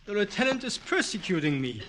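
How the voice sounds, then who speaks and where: A kindly, crotchety old European professor in a vintage radio drama. His voice is very high and swoops animatedly, with a fussy, avuncular air. The old broadcast is clearly noisy, with clicks. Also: big pitch swings, fairly quick. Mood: grumbling. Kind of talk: classic radio drama character acting.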